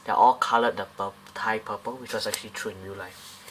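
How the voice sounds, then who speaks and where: A man's voice: on the low side, steady tone, brisk, noisy background. A man casually reviewing a scale model airliner up close, talking in a loose, rambling way with many fillers and restarts.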